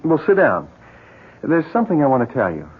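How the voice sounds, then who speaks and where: An actor playing a calm, reassuring, friendly family friend in a 1970s radio drama, with a husky, conversational voice. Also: male voice, medium pitch, fairly quick, a little hiss or hum. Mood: welcoming. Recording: muffled vintage radio broadcast.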